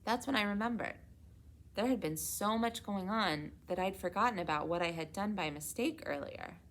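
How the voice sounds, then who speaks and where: A woman reading a children's storybook aloud in an expressive, measured way. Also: mid-range voice, slight background noise. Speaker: children's read-aloud reader at a public library.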